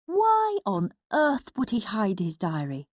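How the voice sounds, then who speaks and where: A woman's voice: medium pitch, wildly swooping pitch, slow pace, no echo, studio quality, full character voice. A woman voicing a horrid, scheming British schoolboy in a storybook, with a scornful, melodramatic delivery. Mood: indignant, scornful.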